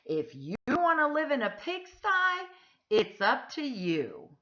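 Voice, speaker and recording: A woman's voice: sits high, huge pitch swings, takes it slowly, sharp tone, muffled recording, voice pushed up, full character voice. A woman reading a storybook, voicing an exasperated mother who firmly scolds her young son.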